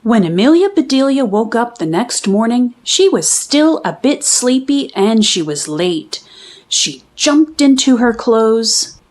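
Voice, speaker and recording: Animated high-voiced woman storyteller. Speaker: read-aloud storyteller. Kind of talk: scripted children's storybook narration.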